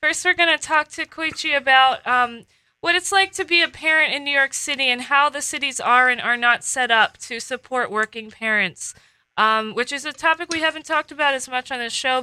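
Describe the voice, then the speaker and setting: Bright-voiced woman hosting a podcast. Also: fairly high pitch, smooth, no echo, low-quality recording. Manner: relaxed, with many fillers. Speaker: podcast host. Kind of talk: casual podcast chat.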